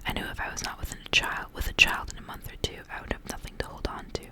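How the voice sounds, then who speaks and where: A woman reads in a breathy, unvoiced whisper, hushed and intimate, in the style of an ASMR storytelling session. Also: fast. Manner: soft, hushed, intimate delivery. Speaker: ASMR reader and channel host. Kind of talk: whispered ASMR storybook reading with casual asides.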